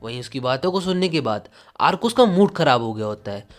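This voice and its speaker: A man narrating a scripted story in a dramatic, animated way. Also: mid-range voice, brisk, faint music behind. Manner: animated storytelling, voicing quoted dialogue. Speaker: manga recap narrator. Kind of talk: dramatic scripted story narration in Hindi with some English words.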